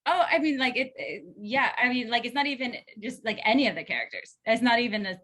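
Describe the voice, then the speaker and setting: Woman actor giving feedback, bright forward voice, super enthusiastic and kinda all over the place. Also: a little high, lively intonation, fast. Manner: enthusiastic, loose, meandering. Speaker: actor giving feedback after a table read. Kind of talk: casual group discussion.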